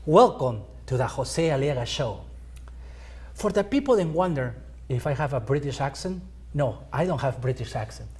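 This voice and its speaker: A man hosting a talk show from a school TV studio, asking questions haltingly and repeating words. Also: mid-range voice, sing-song, darkish tone, breathy edge. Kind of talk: interviewing a guest.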